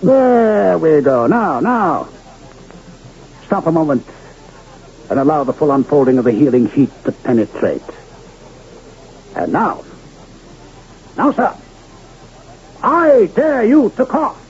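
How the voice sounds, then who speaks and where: An actor playing a smooth-talking traveling medicine showman in grandiloquent, theatrical old-time radio style. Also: wildly swooping pitch, slow pace, long pauses, a little mellow, exaggerated voice. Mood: unctuous, coaxing. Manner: grandiloquent pitchman, florid and theatrical. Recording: muffled, noisy old radio recording.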